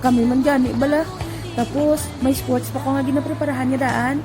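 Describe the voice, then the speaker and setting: A woman voices a sporty high school girl in an audio drama, chatting brightly with a friend. Her voice sits high, and she turns a little evasive now and then. Music runs clearly behind her. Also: fairly even intonation, fairly quick, natural voice. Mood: chatty. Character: cheerful teenage schoolgirl, sporty and lively. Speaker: voice actress. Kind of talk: amateur audio drama acting. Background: background music.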